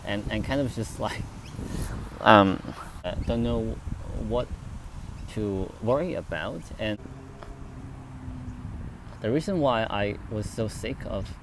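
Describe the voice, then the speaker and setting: A young man from China, a native Chinese speaker, telling a personal story to camera outdoors, halting and full of fillers and restarts. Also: lowish voice, noisy background. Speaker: vlogger. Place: outdoors near traffic, turkey nearby.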